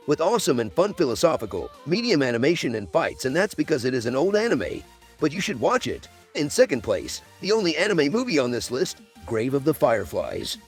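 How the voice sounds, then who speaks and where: A man hosting and narrating a scripted countdown video. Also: mid-range voice.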